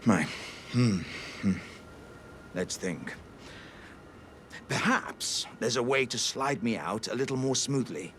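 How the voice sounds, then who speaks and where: A man's voice: mid-range voice, expressive, slow, long pauses, a bit bright, a little hiss or hum. A man voicing a big, earnest, jovial living warrior jar in a video game, with theatrical, old-fashioned courteous speech. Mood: sheepish, hopeful. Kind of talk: video game character acting.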